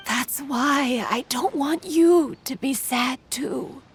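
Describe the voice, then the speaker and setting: Game role: guarded young spirit woman, soft, solemn, unhurried, fairly high voice. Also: faint music behind. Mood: wistful, narrating. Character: aloof, melancholy young female spirit in human form. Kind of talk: video game voice acting.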